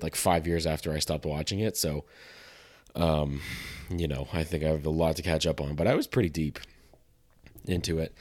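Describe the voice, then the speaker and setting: Hoarse guy w a sore throat, really low voice, rambly and jokey podcast talk. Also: a little mellow. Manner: self-deprecating, joking, many fillers. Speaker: podcast host. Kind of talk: casual rambling monologue.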